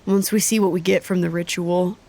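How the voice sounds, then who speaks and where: A woman's voice: on the low side, steady tone, darkish tone. A woman acting a young traveler who is anxious and insistent, pleading to keep moving, in an audio drama. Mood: worried. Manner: pleading, insistent, quick to alarm.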